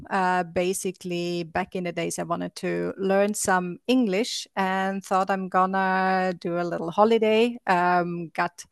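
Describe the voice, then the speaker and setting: Woman with a low gravelly voice, kinda hesitant, lots of ums, dry close sound. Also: dry recording. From Austria. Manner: hesitant, many fillers and restarts. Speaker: guest entrepreneur. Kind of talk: casual interview answers.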